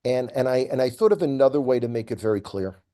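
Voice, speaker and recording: A man giving conversational political commentary as a guest, speaking in an emphatic, opinionated way and making his points deliberately. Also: medium pitch, lively intonation, dark tone. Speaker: regular guest commentator on a news update programme.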